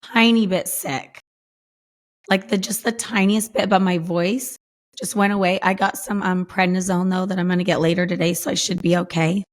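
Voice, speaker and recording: Hoarse woman podcast host. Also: on the low side, fairly even intonation, normal speed. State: lost voice, hoarse. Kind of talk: casual chat.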